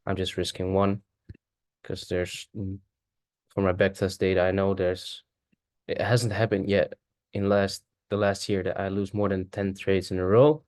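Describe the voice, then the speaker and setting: A man whose first language is Dutch, casually thinking aloud through a chart walkthrough, halting and correcting himself as he goes. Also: low-pitched, level tone, a little mellow, no room sound, clean studio sound. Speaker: forex trader.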